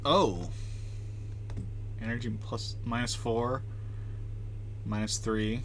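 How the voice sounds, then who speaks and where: A man's voice: mid-range voice, steady tone, slow, fairly bright tone, slight background noise. A man livestreaming a game, giving casual, chatty, joking commentary as he plays. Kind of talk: casual live commentary on gameplay.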